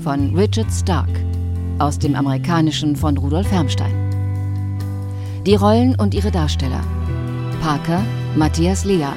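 A woman's voice: deep voice, measured pace, pauses often. A woman reading out the title and credits of a radio drama as a scripted announcement. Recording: guitar music under voice.

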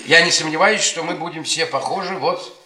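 An actor playing a bitter, sardonic middle-aged history professor, delivering ironic, halting rhetoric in a theatrical radio play. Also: male voice, fairly high pitch, pushed and bright. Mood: ironic lecturing. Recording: roomy stage sound with audience noise.

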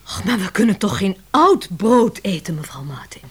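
A woman's voice: medium pitch, very animated, moderate pace, slightly rough. An actress playing an earnest, deferential woman secretary in a radio play, polite and dreamily savouring her words. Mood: dreamy, enthusiastic.

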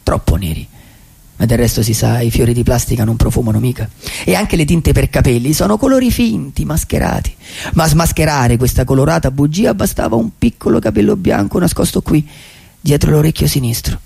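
Radio drama: male actor as a dreamy, lonely vagabond poet. Dark, gravelly voice, halting poetic delivery. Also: fairly high pitch, animated, heavily acted. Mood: brooding, ironic. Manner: halting, repeats phrases for emphasis. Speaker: actor in a radio theatre monologue. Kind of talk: poetic theatrical monologue for radio.

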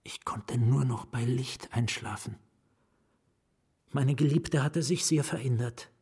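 A haunted novelist telling his own story in a radio play. His voice is strongly husky and breathy, and he speaks slowly and deliberately, often sinking to a whisper. Fear and anguish sit under the literary narration. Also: mid-range voice, a little mellow, exaggerated voice. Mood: brooding, haunted. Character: anguished, fearful male novelist recounting his ruin. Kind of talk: literary radio drama first-person narration.

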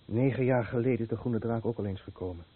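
An actor playing an anguished, guilt-ridden fisherman scarred by fallout, in a Dutch radio play with grave, measured delivery. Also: mid-range voice, husky, lightly acted. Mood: sombre, tender. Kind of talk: Dutch radio play acting, dramatic narration. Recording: muffled, narrow-band old radio recording with noise.

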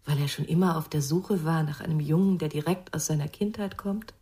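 A woman's voice: low-pitched, a little fast, dark tone, close to an everyday voice. An actress playing a curious, candid girlfriend of an investigator in a radio crime drama, conversational and questioning. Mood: curious, probing.

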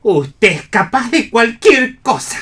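A woman's voice: on the high side, sing-song, slow pace, a bit bright, exaggerated voice. A woman playing a brash, goat-faced peanut hawker in a radio play, with a blustering street-crier delivery. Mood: grumbling. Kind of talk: read radio theatre.

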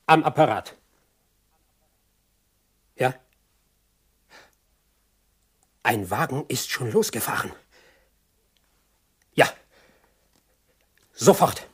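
Bossy soviet pilot in a radio play, rough husky voice, very animated pitch, clipped orders. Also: male voice, takes it slowly, pauses often. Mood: brisk. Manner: terse, authoritative, giving orders. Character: brisk, commanding male Soviet aviator comrade. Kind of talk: 1980s German radio play acting.

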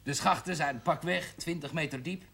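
Casting: earnest union chairman, fairly high gravelly voice, formal oratory, 1970s TV drama. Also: normal speed, pushed and bright, heavily acted. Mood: earnest, proud. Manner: oratorical, emphatic. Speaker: actor as meeting chairman. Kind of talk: 1970s television drama acting, meeting speech.

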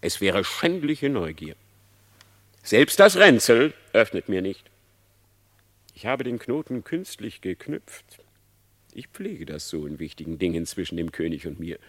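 An actor playing a cunning male fox, a smooth-talking trickster, in a classic verse radio play. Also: lowish voice, very animated, measured pace, long pauses, fairly bright tone, heavily acted. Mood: sly. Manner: wheedling, persuasive, theatrical verse delivery.

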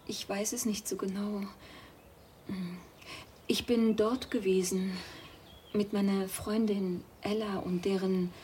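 An actress playing an earnest young art student in a radio drama, halting and hesitant in her speech. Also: mid-range voice, darkish tone, slight background noise, close to an everyday voice. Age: young adult.

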